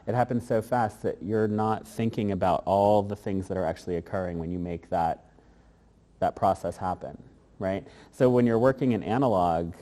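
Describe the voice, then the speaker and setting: A man with a low, dark and mellow voice talks through his answers in a thoughtful, meandering way, often restarting his sentences. He is recorded in a gallery space where a long reverb hangs behind his words. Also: moderate pace. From grew up in Germany, Korea and the United States. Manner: thoughtful, rambling with fillers and restarts. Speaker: interviewed artist. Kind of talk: casual interview answers.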